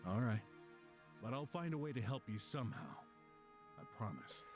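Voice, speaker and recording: A man plays a kind-hearted young detective in a video game. He is earnest and insistent, with a lively, swinging pitch. Music plays clearly behind him. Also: normal speed, natural voice. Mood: determined.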